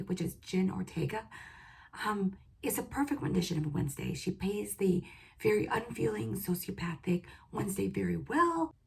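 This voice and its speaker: A woman with a hoarse voice, losing it after a cold, giving a casual, opinionated solo review of a TV show with plenty of "um" and "like". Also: mid-range voice, a little mellow, poor audio.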